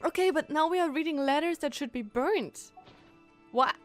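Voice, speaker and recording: A woman's voice: high-pitched, normal speed, faint music behind. A woman streaming a game with casual, enthusiastic and reactive commentary.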